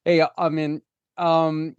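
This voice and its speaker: Higher-voiced man hosting, dry close sound. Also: completely flat delivery, slow, clean tone, dry recording, lo-fi sound. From United States. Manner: joking, rambling. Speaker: host. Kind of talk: casual interview conversation.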